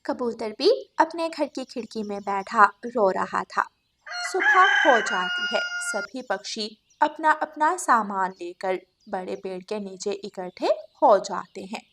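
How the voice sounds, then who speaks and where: A woman's voice: mid-range voice, expressive, measured pace, sharp tone, a little hiss or hum. A woman storyteller reading scripted narration for a children's moral story.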